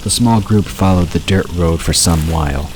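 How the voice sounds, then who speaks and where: A man's voice: low-pitched, normal speed, over music. A man reading scripted fiction narration as an audiobook narrator, in a storytelling style. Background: outdoor ambience and water sound effects.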